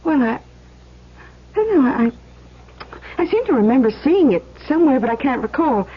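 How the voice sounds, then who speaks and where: An actress playing a gentle, anxious young schoolteacher in a 1970s radio drama, halting and emotional. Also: female voice, sits high, very animated, husky, heavily acted. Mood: polite, hesitant. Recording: muffled old radio broadcast.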